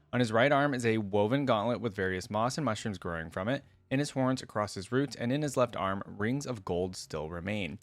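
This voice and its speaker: A man's voice: medium pitch, dry recording. A man hosting a reaction video in a casual, enthusiastic, informal way, commenting and reading aloud.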